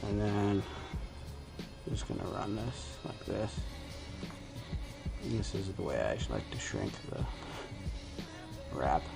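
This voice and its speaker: A man's voice: lowish voice, big pitch swings, measured pace, gravelly, long pauses, loud music behind the voice. A man hosting a DIY video, talking through a hands-on tutorial in a casual, informal way as he thinks aloud.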